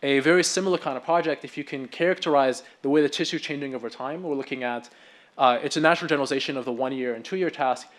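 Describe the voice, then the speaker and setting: Guy talking fast at a medical conference, excited, keeps restarting sentences. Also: mid-range voice. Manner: quick, enthusiastic, with frequent restarts. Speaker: researcher presenting at a medical symposium. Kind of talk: conference talk.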